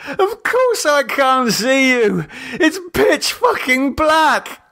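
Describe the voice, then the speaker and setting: A man voicing a terrified, raving man blinded in a dark cellar for a horror audiobook, frantic, sweary and stammering. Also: very high voice, big pitch swings, drawn-out words, voice pushed up, exaggerated voice. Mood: hysterical, furious. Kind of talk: audiobook character voice.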